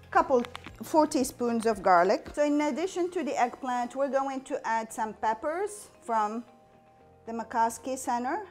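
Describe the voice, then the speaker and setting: A woman in her forties from Morocco, hosting a cooking show and explaining each step as she cooks in a home kitchen on a lapel mic. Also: a little high. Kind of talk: cooking demonstration.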